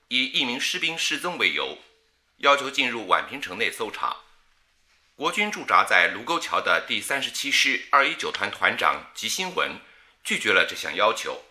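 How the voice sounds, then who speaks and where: Grave male wartime news announcer, bright forceful delivery, wide intonation, light music behind. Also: mid-range voice, sing-song, normal speed. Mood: grave, urgent. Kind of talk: formal wartime radio news reading.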